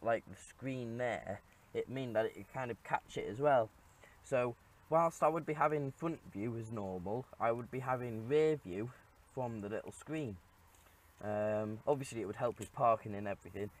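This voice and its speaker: A man casually talking through his car on camera, rambling with lots of ums. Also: mid-range voice. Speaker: car vlogger. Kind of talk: casual walkaround commentary. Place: outdoors on a quiet rural lane. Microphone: head-mounted action camera.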